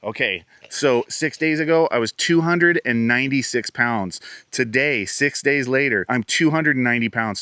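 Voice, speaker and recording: Chatty 40s guy talking to camera, earnest and upbeat, medium pitch, dry room. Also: pushed and bright, dry recording. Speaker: vlogger. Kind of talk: casual talk to camera.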